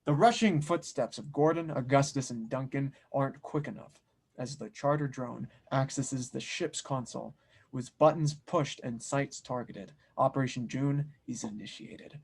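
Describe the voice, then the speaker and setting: A man's voice: medium pitch, moderate pace, gravelly, a little mellow. A man reading scene directions as narrator at an audio drama table read, in a dramatic scripted style.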